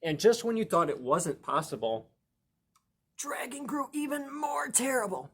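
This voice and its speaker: A man reading a children's picture book aloud as a storytime host, playful and expressive, with emphatic words and chatty asides to young listeners. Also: very high voice, a little slow, husky. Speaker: library storytime reader and host.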